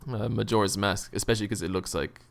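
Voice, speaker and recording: A man's voice: fairly low pitch, steady tone, quick pace, gravelly. A man in casual, informal podcast chat about games, using plenty of slang and swearing. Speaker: gaming podcast co-host.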